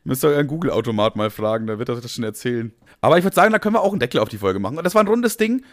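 A man's voice: medium pitch, lively intonation, racing. A young man co-hosting a podcast in casual, humorous chat, slangy and rambling. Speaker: podcast co-host.

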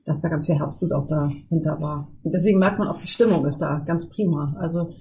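A woman's voice: deep voice, steady tone, fairly quick, mellow, a little hiss or hum, poor audio. A woman speaking casually and with enthusiasm in a podcast conversation. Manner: enthusiastic, praising.

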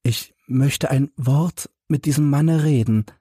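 A man's voice: medium pitch, slow, dark tone, husky, dry recording, studio quality. A man reading an audiobook as a shaken married woman, trembling but trying to sound firm. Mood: controlled, anxious. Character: pale, shaken married woman hiding her fear. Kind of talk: audiobook character acting.

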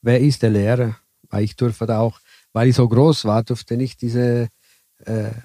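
A man from southern Italy speaking German with an Italian accent, telling his story haltingly as an interview guest. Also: mid-range voice, little pitch movement, mellow, no room sound, clean studio sound. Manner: halting, broken German with many fillers. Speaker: guest, bus driver. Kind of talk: casual interview chat.

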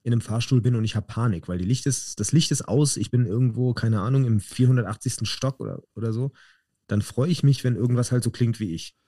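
Ein Mann aus Hessen mit hessischem Akzent, Co-Host eines Podcasts, der im lockeren Gespräch nachfragt und mit trockenen Witzen reagiert. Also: male voice, mid-range voice, warm tone, no room sound, clean studio sound. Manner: quick reactions, joking interjections. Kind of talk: casual chat.

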